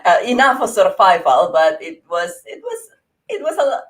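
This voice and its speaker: A woman in her forties with an Indonesian accent, a guest in an interview, speaking earnestly with frequent laughs and halting restarts. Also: mid-range voice, huge pitch swings. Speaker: interview guest, grief coach. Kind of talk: conversational interview.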